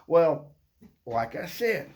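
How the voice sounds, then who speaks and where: Upbeat man who stutters, higher voice. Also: big pitch swings, drawn-out words, darkish tone. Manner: upbeat, playful, keeps adding "okay?". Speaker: vlogger. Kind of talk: casual motivational talk to camera.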